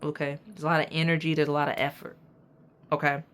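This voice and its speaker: A woman doing a pick-a-card tarot reading with a raspy voice, talking straight to her viewers in an emphatic, preachy way and checking in with "okay?" and "right?". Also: low-pitched, level tone, quick pace. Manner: casual slang, addresses viewers as y'all. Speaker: tarot reader.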